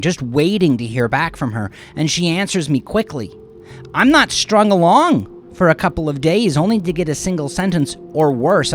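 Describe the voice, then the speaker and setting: A man narrating a first-person dramatic audio story in a confessional, conversational manner with dramatic pauses. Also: medium pitch. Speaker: narrator of his own horror story. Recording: music behind the voice. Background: background music.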